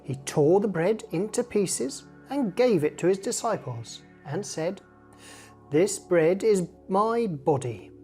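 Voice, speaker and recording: Female storyteller for children's tale. Slow, very expressive, soft music underneath. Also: mid-range voice.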